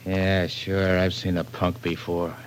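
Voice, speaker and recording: Very low-voiced, surly American crook. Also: male voice, completely flat delivery, unhurried, clean tone. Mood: sardonic. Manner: tough, slangy, sardonic. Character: surly, sardonic male small-time tough crook. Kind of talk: old-time radio crime drama acting. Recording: noisy vintage radio recording.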